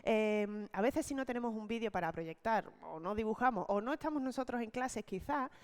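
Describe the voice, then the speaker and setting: A woman leading an online teacher-training talk, explaining step by step and often checking in with quick tag questions. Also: on the high side, lively intonation, clean tone. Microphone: video call.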